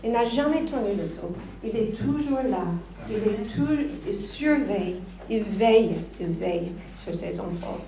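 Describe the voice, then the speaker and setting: A woman's voice: mid-range voice, expressive, a little slow, dark tone. A woman giving an earnest, encouraging address to a church congregation before introducing her husband. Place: church hall. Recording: echoey church hall.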